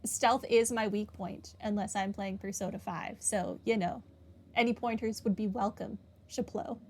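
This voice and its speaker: A woman's voice: mid-range voice, steady tone, normal speed, slight background noise. A woman giving live game commentary as a streamer, chatting casually with a playful sarcastic streak. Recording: faint game music behind the voice.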